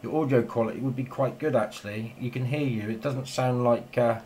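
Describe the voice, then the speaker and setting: A man's voice: mid-range voice, steady tone, a little fast, a little mellow, a little hiss or hum. A man chatting casually and in a rambling way as a radio operator filming in his home shack. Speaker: amateur radio operator and video maker.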